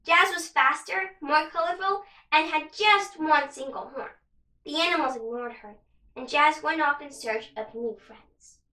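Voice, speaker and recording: A woman reads a children's story expressively. Her voice is very high and bright. It sounds roomy, as if recorded in an echoing space. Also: a little slow. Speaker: storybook reader, actor. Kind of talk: expressive children's read-aloud narration. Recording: roomy, some distance from mic.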